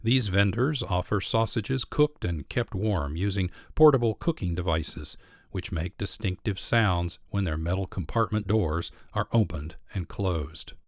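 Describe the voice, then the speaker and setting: A man's voice: low-pitched, measured pace, no echo, clean studio sound. A man presenting an audio storytelling programme in scripted, explanatory narration.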